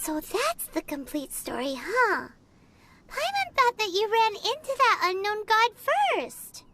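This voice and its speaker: A woman voicing a small, childlike fairy guide in a video game, squeaky, perky, chatty and curious, with exaggerated delivery. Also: very animated, measured pace, pushed and bright, a little hiss or hum, full character voice. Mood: pondering. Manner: perky, exaggerated, refers to herself in third person. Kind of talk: animated video game character acting. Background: prominent background music.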